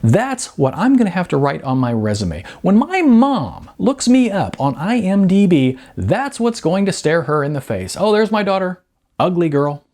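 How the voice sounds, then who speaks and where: A man's voice: sits high, sing-song, normal speed, darkish tone, voice pushed up. A man putting on a sarcastic young actress. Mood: exasperated. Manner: exasperated, sarcastic. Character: young adult actress, wry and hurt.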